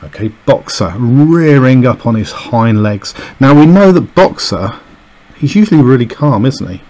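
A man's voice: mid-range voice, expressive, moderate pace, dark tone, highs cut off. A man teaching a recorded lesson, explaining an extract with many fillers and "okay"s as he annotates it. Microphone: voice-over over a camera filming a page on a desk.

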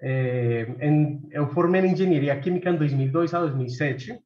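A man's voice: a little high, normal speed, darkish tone, a little hiss or hum. A man in his thirties from Colombia with a Colombian Spanish accent, giving an academic talk on a video call with frequent fillers and restarts. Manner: hesitant, many fillers and repeated words. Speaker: researcher presenting a talk. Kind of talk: academic presentation. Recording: echoey room, dull lo-fi sound.

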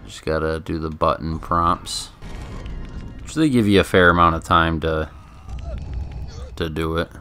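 A man's voice: very deep voice, a little slow, pauses often, bright, forceful tone, soft background music. A man giving casual, offhand, rambling commentary on a video game. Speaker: gameplay commentator.

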